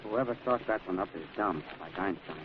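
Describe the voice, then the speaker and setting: An actor playing a deferential police sergeant in an old radio drama, talking in a folksy, dutiful way. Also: male voice, sits low, fairly quick, lightly acted. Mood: dutiful, explaining. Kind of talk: 1940s radio acting. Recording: muffled noisy old radio recording.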